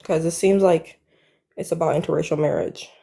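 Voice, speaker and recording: A woman in her twenties speaks softly and hesitantly on a live stream call. Her voice sounds young, a little low, and mellow in tone. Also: fairly quick, creaky, slightly rough. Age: 20s. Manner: soft, hesitant, youthful-sounding. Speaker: caller. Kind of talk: casual live stream conversation.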